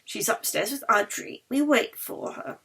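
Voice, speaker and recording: A woman's voice: a little high, a little slow, vocal fry, a bit bright, breathy edge, heavily acted. A woman voicing a fervent, grandiose male baron who believes in spirits, in an audiobook. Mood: measured, assured. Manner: impassioned, grandiose, persuasive. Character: earnest, fervent Swedish baron devoted to spiritualism. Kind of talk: audiobook character dialogue.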